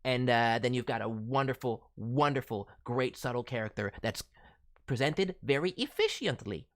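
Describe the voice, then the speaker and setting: A man's voice: medium pitch, expressive, moderate pace, fairly bright tone. A man speaking as a voice director, giving casual, enthusiastic and encouraging critique. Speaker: voice director reviewing demo reels.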